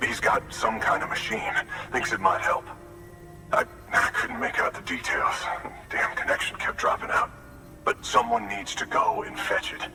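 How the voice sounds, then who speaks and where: An actor playing a worried, desperate husband in a video game, urgent and halting as he pleads. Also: fairly low pitch, steady tone, close to an everyday voice. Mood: urgent pleading. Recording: echoey, distant, music behind.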